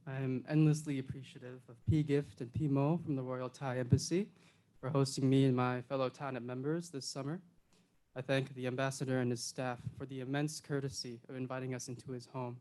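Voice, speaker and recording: A young man from Illinois, a program intern, reading a prepared personal speech in an earnest, measured and reflective way. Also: mid-range voice, level tone, dark tone, poor audio. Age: young adult.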